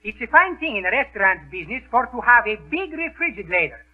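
An actor playing a Greek restaurant owner with mangled broken English in 1930s radio comedy. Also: male voice, very high-pitched, very animated, fast, exaggerated voice. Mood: explaining proudly. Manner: mangled grammar, malapropisms. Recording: tinny old 1930s radio recording.

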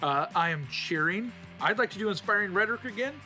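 A man's voice: high voice, expressive, quick pace, pushed and bright, faint music behind. A man chatting casually as a player in a tabletop role-playing game.

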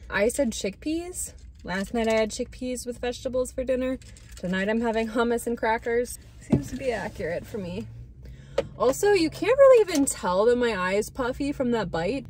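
A woman's voice: fairly high pitch, animated, normal speed, background noise. A young woman in her twenties, casually chatting to a camera while sitting inside her car on a road trip. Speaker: travel vlogger. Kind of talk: casual vlog chat. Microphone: handheld camera on the dashboard.

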